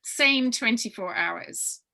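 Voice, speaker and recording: Woman workshop leader, bright forceful voice, thinks aloud and repeats phrases for emphasis. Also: a little high, animated, takes it slowly, vocal fry. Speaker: workshop leader briefing young writers. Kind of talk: informal spoken briefing with question and answer.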